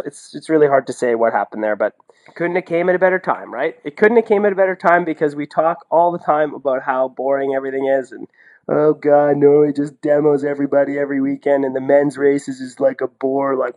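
A Canadian man giving casual, opinionated sports analysis in an animated, colloquial way, as a podcast co-host. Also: medium pitch. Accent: Canadian English. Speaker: podcast co-host and ski commentator.

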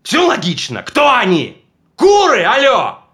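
Актёр играет ревнивого, жестокого мужа, который издевается над женой и то шипит, то срывается на крик. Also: male voice, very high-pitched, wildly swooping pitch, measured pace, exaggerated voice. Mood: taunting, raging. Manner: mocking, swings from menacing whispers to shouting. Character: jealous abusive husband tormenting his wife. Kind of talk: stage drama performance.

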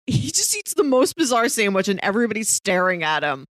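A woman's voice: mid-range voice, very animated, fairly quick, no room sound. A woman co-hosting a casual TV discussion, telling things in an informal, wry way. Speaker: podcast co-host.

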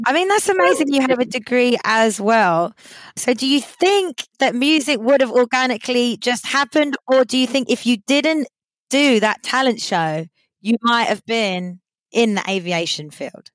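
Woman interviewer, kinda high voice, dry close sound, lots of encouraging mm-hmms. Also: lively intonation, normal speed, no room sound, poor audio. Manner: encouraging, frequent affirming interjections. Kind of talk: interview questions.

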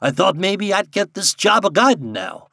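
A man's voice: high voice, sing-song, normal speed, gravelly, sharp tone, dry recording, studio quality, pitched above the performer's own voice, exaggerated voice. A man voicing a sly old American backwoods guide with a folksy rustic dialect in a storybook reading. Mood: civil, composed.

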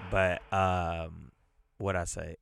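A man with a stuffed-up nose hosts a podcast on his own, chatting loosely, filling the gaps with "um" and "uh," and cursing freely. He talks at an unhurried pace in a smooth, resonant voice. His voice is recorded close and dry, with no room sound. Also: sits low, little pitch movement, a bit bright, no room sound. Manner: loose, profane, rambling with fillers. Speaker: podcast host. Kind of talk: casual solo podcast chat.

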